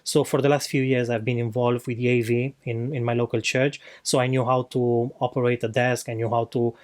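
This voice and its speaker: A young man from Romania with a Romanian accent, co-hosting a podcast and telling his story in an earnest, halting way with many fillers and restarts. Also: mid-range voice, little pitch movement. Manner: earnest, searching for words with frequent fillers and restarts. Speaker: podcast co-host. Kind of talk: casual personal testimony. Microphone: video podcast mic.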